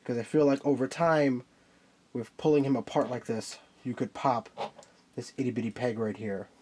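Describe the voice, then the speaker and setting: A man's voice: mid-range voice, steady tone, normal speed, darkish tone, slightly rough. Stuffy-nosed man reviewing a toy. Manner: chatty, explains while handling a figure. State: stuffy nose from a cold.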